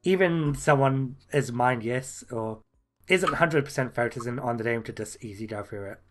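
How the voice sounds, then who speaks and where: A man's voice: mid-range voice, normal speed, slight background noise. A man co-hosting a gaming podcast, talking casually, laughing often and trailing off mid-sentence. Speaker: podcast co-host.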